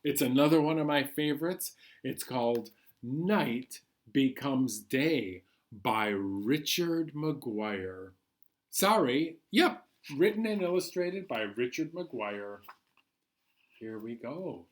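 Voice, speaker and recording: A man hosting a children's storytime, reading a picture book aloud in a measured, singsong way. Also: medium pitch, expressive. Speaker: children's storytime host at a library.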